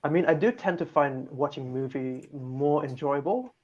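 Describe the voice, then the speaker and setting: A man's voice: a little high, moderate pace. A man from Malaysia, talking hesitantly as a guest, thinking aloud with many restarts. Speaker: guest on talk show. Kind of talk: casual chat. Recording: dull lo-fi mic.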